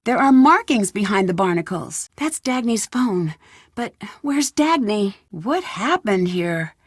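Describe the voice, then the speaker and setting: A woman's voice: medium pitch, sing-song, unhurried, breathy edge, no room sound, clean studio sound. A young woman voicing a curious, plucky amateur detective in an adventure video game, musing to herself inquisitively. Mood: intrigued, suspicious. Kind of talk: scripted adventure game voice acting, talking to herself.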